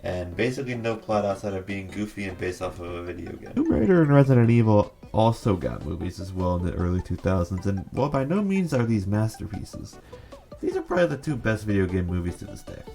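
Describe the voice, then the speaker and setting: A man with a fairly low voice delivers scripted video essay commentary. He is opinionated and sarcastic, and music plays behind him. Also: very animated, a little fast, creaky, warm tone.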